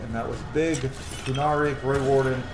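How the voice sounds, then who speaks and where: A man's voice: mid-range voice, fairly even intonation, moderate pace, background noise. A man in his thirties giving casual commentary while playing a video game. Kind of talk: casual let's-play commentary. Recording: roomy sound under loud game audio. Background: loud game music and combat sounds.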